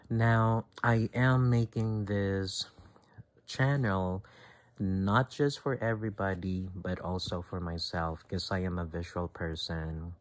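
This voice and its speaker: A man in his thirties with a very low voice and a Filipino accent speaks English, his second language. He talks live and unscripted, stopping to reach for words and often starting a sentence over. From Philippines. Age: 30s. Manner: halting, many fillers and restarts. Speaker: vlogger. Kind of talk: casual talk to camera, done live.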